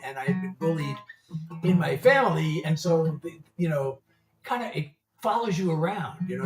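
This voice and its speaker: A chatty man in his seventies talks loosely to his livestream viewers, wandering from thought to thought and breaking into laughter. He sits back from the microphone in an echoey room, with guitar music clearly audible behind him. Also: a little high. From United States. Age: 70s. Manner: rambling, chatty, laughs often. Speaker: singer-songwriter. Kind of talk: casual livestream chat. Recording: roomy, distant mic, guitar music behind.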